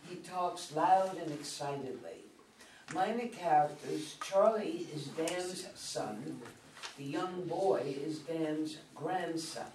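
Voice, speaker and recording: A man's voice: fairly high pitch, takes it slowly, a little mellow, distant mic. Older male narrator, stage directions, scripted reading, echoey room, faint background noise. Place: meeting room, around a table. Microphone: far camera.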